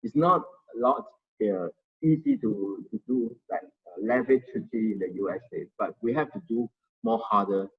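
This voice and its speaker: A man giving an informal Buddhist study talk, speaking haltingly and often restarting as he searches for words. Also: fairly low pitch, narrow, tinny audio. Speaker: Dharma sharing volunteer presenter.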